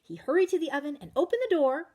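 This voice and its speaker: A woman reading a story to young children, expressive and addressing her listeners directly with questions. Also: sits high, quick pace. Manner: expressive, asks listeners questions, varies volume. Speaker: children's story reader, teacher.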